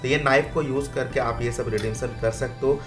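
A man gives a casual gaming walkthrough at a middling pitch. He explains things step by step, with music playing faintly behind his voice. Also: fairly even intonation, brisk. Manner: instructional, step by step. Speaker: gaming video host. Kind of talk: casual game event walkthrough.

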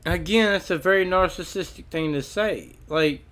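Male commentator, fairly high voice, emphatic and opinionated, hammers key phrases by repetition. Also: normal speed, a bit bright. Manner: emphatic, repeats phrases for effect. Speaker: video commentator. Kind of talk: opinionated commentary on an audio recording.

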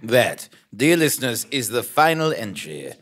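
A man's voice: mid-range voice, very animated, measured pace, fairly bright tone, breathy edge, full character voice. A man playing an earnest, self-important investigative journalist, narrating a podcast parody on stage in a pompous, dramatic way. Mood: grandly earnest. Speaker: narrator and lead actor. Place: small theatre stage, live show.

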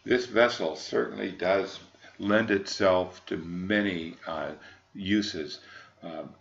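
An American man, middle-aged or older, giving an unscripted sales walkthrough in a halting, measured, formal manner. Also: deep voice, gravelly, lo-fi sound. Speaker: yacht broker presenting a boat.

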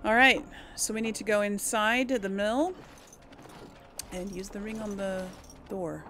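A woman's voice: mid-range voice, measured pace, noisy background. A woman giving casual role-play commentary on a video game, informal and musing aloud. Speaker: gaming channel host.

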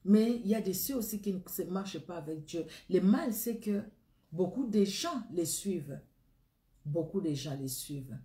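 A woman's voice: fairly low pitch, animated, moderate pace, dark tone. Congolese woman preaching in a roomy echo. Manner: earnest, repetitive, rising fervor in prayer. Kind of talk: sermon and spoken prayer. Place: indoor room against a plain wall.

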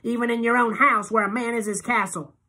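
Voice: mid-range voice, animated, bright, forceful tone, slightly rough, voice pushed up. A narrator voicing a flirtatious, tipsy woman who slurs and drags out her words. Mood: tipsy teasing. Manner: slurred, giggly, drawn-out.

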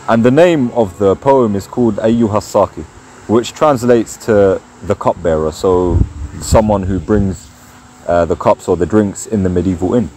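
A man's voice: on the low side, darkish tone. A young man chatting casually as the host of a video, recorded outdoors in a park. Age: young adult. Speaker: host of a history video channel. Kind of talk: casual chat to camera and guest. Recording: outdoor park noise, distant traffic.

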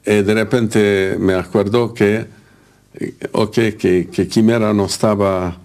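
A man from Lebanon, not a native speaker, haltingly searching for words as he recalls events in an interview. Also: lowish voice, fairly even intonation, darkish tone. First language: not Spanish. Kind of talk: spoken interview recollection.

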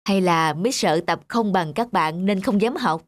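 A man voicing a patient, wise father in a cartoon, reasoning and gently teasing. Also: high-pitched, unhurried, dry recording. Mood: coaxing, reasoning. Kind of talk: cartoon voice acting. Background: music.

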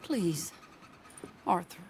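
An actress playing an anxious, genteel young frontier widow, earnest and restrained in a game cutscene. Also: female voice, on the low side, sing-song, very slow, gravelly, darkish tone, slight background noise, heavily acted. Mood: pleading. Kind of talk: dramatic video game cutscene acting.

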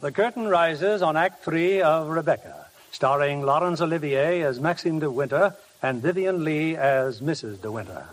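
A male announcer in vintage 1950s radio style. His voice is fairly high, with music playing softly behind him. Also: normal speed, hardly any pauses.

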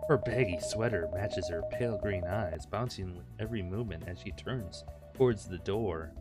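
A man with a fairly low voice reads game text with mock drama, then drops into jokey commentary. He riffs on the lines as he goes, and music plays clearly behind him. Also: normal speed. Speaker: gaming video host reading a visual novel. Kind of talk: comic read-aloud of game text with joking asides. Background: loud background music.

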